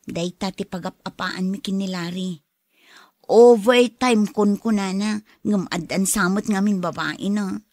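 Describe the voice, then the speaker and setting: A young married woman in an audio drama, played by an actress, with a medium-pitched voice. She is quick to suspect and easily troubled. The Ilocano radio-play delivery sits in a dry, close recording. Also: a little mellow, no echo, lightly acted. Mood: upset, suspicious.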